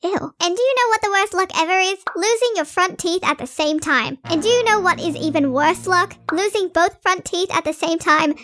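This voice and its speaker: A young adult woman tells scripted personal stories in an animated, youthful and expressive way. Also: very high voice, normal speed, few pauses, soft background music. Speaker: animated storytime narrator.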